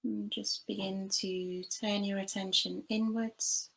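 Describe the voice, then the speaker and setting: A woman leading a guided body scan meditation, giving gentle, unhurried instructions with long pauses between phrases. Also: mid-range voice, level tone, vocal fry, poor audio. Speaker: meditation teacher.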